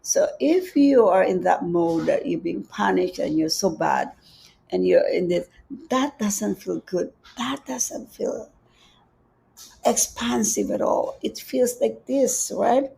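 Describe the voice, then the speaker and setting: A woman with a Filipino accent giving a gentle, repetitive spiritual teaching on a livestream, reading out viewer comments. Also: medium pitch, expressive, takes it slowly, creaky, slight background noise. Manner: gentle, repeats phrases, reads viewer comments aloud. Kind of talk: live-streamed spiritual teaching. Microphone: livestream setup.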